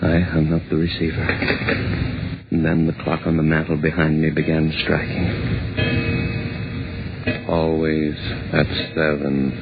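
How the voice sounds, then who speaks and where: An actor playing a tormented university man accused of murder, haunted by visions, in a 1940s radio drama with melodramatic, halting delivery. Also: rumbling low, monotone, over loud music. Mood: dread. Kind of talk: 1940s radio drama acting, first-person confession. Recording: muffled, noisy 1940s radio recording.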